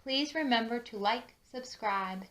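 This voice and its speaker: A woman reading a children's storybook aloud with expressive, drawn-out emphasis and chatty asides. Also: a little high. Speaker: children's book read-aloud narrator.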